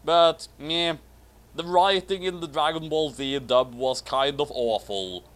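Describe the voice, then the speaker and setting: A man's voice: fairly high pitch, a little slow, fairly bright tone. Man giving casual comedic commentary. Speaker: commentary host reading fan fiction aloud. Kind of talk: casual comedic commentary with read-aloud narration.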